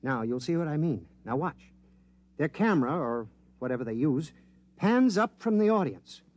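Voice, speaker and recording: An excitable scientist in an old radio play, high voice jumping all over, dry close sound. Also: male voice, normal speed, no echo. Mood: excited. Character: eager male scientist, excitable and fussy. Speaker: radio drama actor. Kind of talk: 1950s radio drama acting.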